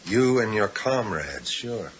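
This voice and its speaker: An actor playing an agitated, paranoid psychiatric patient in a 1980s radio drama, halting and accusatory. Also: male voice, lowish voice, sing-song, slight background noise. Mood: suspicious, accusing. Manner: halting, accusatory, swinging into frantic outbursts. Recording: dull 1980s radio recording, music underneath.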